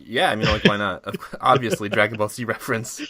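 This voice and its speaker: A man's voice: on the low side, fairly even intonation, fast talker, pushed and bright. A man bantering casually as a podcast co-host, joking and often laughing. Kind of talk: casual banter and halting reading aloud.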